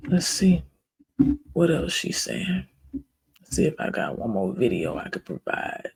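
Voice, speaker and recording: Soft-spoken, slow, gravelly host voice. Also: mid-range voice, huge pitch swings, low-quality recording. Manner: soft-spoken, calls it an ASMR voice; chatty, speaks directly to viewers. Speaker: reaction video host. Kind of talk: casual reaction commentary.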